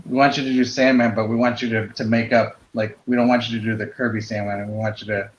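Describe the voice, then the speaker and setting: A man in casual conversation about comics, explaining things in a tentative, halting way as a panelist. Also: fairly low pitch, little pitch movement, reverberant, muffled recording, a little hiss or hum. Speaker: panelist on a comics drawing show.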